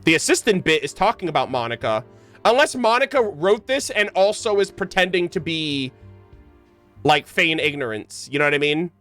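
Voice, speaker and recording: Higher-voiced man streaming a game. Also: sing-song, normal speed. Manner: slangy, speculating out loud. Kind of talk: casual playthrough commentary, reading game text aloud. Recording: game music behind voice. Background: game music.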